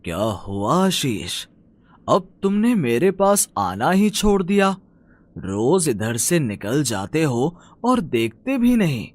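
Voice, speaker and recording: Slow, kindly magic tree, voiced by a man. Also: a little high, big pitch swings, dry recording, exaggerated voice. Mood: gentle concern. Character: kindly magical talking tree, gentle old friend. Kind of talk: cartoon fairy-tale voice acting.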